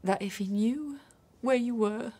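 A woman's voice: fairly high pitch, unhurried, warm tone, husky. A woman acting a grieving, trusting, lonely wife in a naturalistic radio drama. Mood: confiding, needy.

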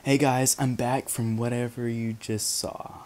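A man's voice: medium pitch, flat delivery, unhurried, darkish tone. A young man casually reviewing photography gear from his bedroom, talking to the camera with lots of ums and restarts. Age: young adult. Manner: chatty, many ums and restarts.

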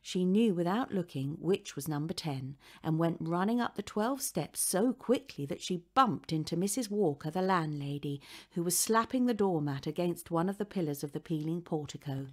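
A woman narrates a children's book. Her voice sits a little low, and she reads in a scripted storytelling style. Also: animated, moderate pace, few pauses, a little mellow. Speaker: audiobook narrator.

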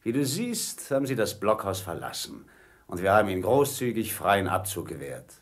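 An actor playing a cunning, commanding pirate ringleader in a radio play, theatrical, by turns wheedling and menacing. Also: male voice, fairly low pitch, sing-song, moderate pace. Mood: smoothly persuasive.

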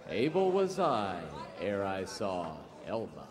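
A man in a radio comedy sketch, delivering short lines in a clipped, deadpan way. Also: fairly low pitch, takes it slowly, over music. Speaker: comedy singer and actor. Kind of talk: radio comedy singing and acting. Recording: echoey stage with loud band.

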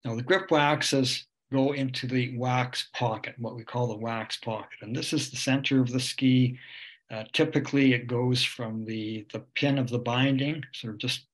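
A man's voice: mid-range voice, steady tone, normal speed, rough recording. Older Canadian man giving a ski lesson. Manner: explanatory, hesitant with many uh and um fillers. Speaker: ski instructor. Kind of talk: webinar presentation over slides. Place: home room on a video call. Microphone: webcam or computer mic on a video call.

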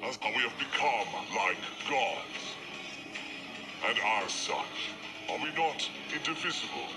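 An actor playing an ancient, fatalistic villain in a video game, speaking in grandiose, theatrical oratory. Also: male voice, mid-range voice, sing-song, slow, over loud music.

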